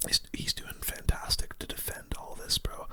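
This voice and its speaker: A young man speaks in a steady whisper, very bright and sibilant. He gives informal, relaxed ASMR game commentary right up close to the microphone. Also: normal speed. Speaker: gaming video creator.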